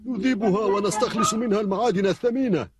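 A man as a high-voiced, kindly little dwarf. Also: fast talker, loud music behind the voice, close to an everyday voice. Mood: welcoming. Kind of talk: dubbed cartoon character acting.

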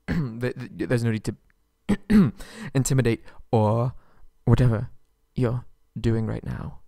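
Man acting a slow-talking, haughty, frightened captive prince. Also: medium pitch, gravelly, dark tone, no echo, clean studio sound. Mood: nervous, placating. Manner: stammering, hesitant, pleading. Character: haughty, frightened young kidnapped prince, foul-mouthed but submissive. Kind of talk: ASMR roleplay audio drama, one-sided dialogue.

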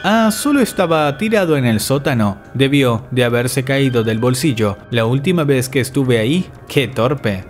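A man's voice: mid-range voice, animated, moderate pace, few pauses, music in the background, lightly acted. A man voicing a playful, dramatic father who pretends to be a robot leader in a narrated story. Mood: cheerful.

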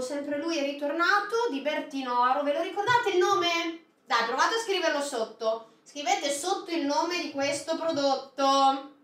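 A woman in her thirties with a high, very animated voice that leaps up and down as she talks. She chats directly to her viewers, asking them questions and handing out recipe tips. Her voice carries a roomy echo from a small indoor set. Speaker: food box presenter. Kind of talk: chatty product unboxing with recipe tips. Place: small indoor studio set with produce crates. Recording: echoey room.

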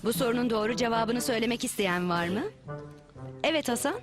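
A woman voicing a patient, measured schoolteacher quizzing a class in a children's cartoon. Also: a little high, natural voice. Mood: wry, correcting. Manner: measured, instructive. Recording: music behind the voice.

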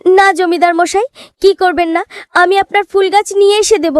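A woman's voice: very high voice, fairly even intonation, moderate pace, no echo, clean studio sound, pitched above the performer's own voice. A woman voicing a poor village girl in a fairy-tale cartoon, earnest, insistent and imploring. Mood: desperate, insistent. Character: pleading poor village girl, devoted to her cow.

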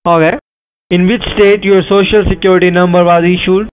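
A man with a fairly high voice delivers scripted instructions in a formal, insistent way. He comes through a thin, tinny phone line. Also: flat delivery, normal speed, a little hiss or hum. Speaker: scam caller posing as an officer.

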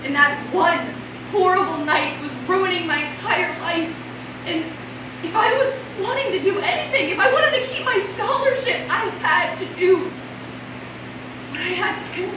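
Actress as a high-voiced distressed college girl, very echoey. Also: lively intonation, normal speed. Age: young adult. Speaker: stage actress. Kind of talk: theatrical monologue before a live audience. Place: small stage before a seated audience. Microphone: far camera. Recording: echoey noisy stage, distant camera microphone.

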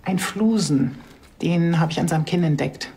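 Woman as a low-voiced, clinical forensic pathologist. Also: moderate pace, warm tone, slight background noise, close to an everyday voice. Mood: matter-of-fact. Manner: precise, clinical. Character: matter-of-fact female forensic pathologist. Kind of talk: TV crime comedy acting.

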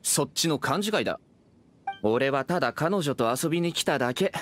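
A man's voice: a little high, animated, normal speed, fairly bright tone, a little hiss or hum. An actor playing a protective, irritated young man in an anime game, blunt and brusque with his words. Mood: grudging. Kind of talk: anime game character acting.

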